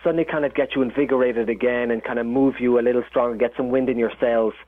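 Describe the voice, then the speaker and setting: A man's voice: medium pitch, fairly even intonation, fast. Irish man over a tinny phone line. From Ireland. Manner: rambling, many restarts and fillers. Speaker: chef, interview guest. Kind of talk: casual interview talk.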